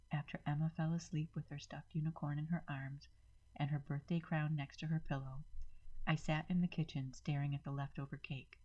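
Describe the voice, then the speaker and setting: A woman narrates a dramatic first-person story. Her voice is very low and distinctly husky. The recording is slightly dull and lo-fi. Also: darkish tone.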